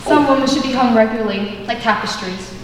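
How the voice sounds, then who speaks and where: A woman's voice: fairly high pitch, a little fast, close to an everyday voice. A young woman playing a flighty, haughty socialite in a stage comedy, with an affected, dramatic delivery. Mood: haughty, gushing. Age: young adult. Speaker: student stage actor. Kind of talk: theatrical stage comedy acting. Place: large indoor school auditorium, on stage. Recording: echoey auditorium, distant mic, clear noise.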